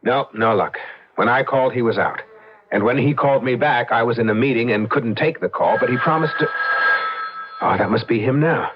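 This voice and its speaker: An actor playing an anxious young husband in an old-time radio drama, earnest and hesitant. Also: male voice, mid-range voice, a crowd in the background, close to an everyday voice. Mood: reassuring. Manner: hesitant, halting. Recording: dull vintage radio drama recording.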